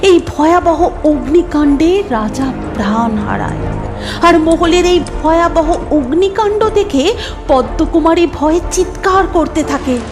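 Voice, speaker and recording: A woman's voice: very high-pitched, expressive, a little slow, over loud music. A woman narrating a scripted fairy tale as a storyteller.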